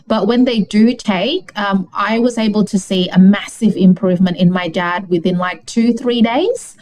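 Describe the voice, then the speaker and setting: A woman's voice: mid-range voice, moderate pace, a little mellow, poor audio. A woman from Indonesia chatting casually and explaining things as an economist guest in an interview. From Jakarta, Indonesia.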